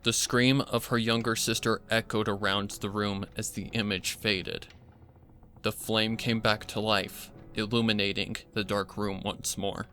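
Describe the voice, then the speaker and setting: A man reading a dark horror story as a dramatic scripted narration. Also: lowish voice, level tone, measured pace. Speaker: fan fiction narrator.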